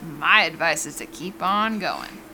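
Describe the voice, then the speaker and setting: A woman's voice: lowish voice, lively intonation, measured pace, pushed and bright, faint music behind, heavily acted, poor audio. An actress playing a blunt, jaded small-town cafe woman in an audio drama, dry and sardonic.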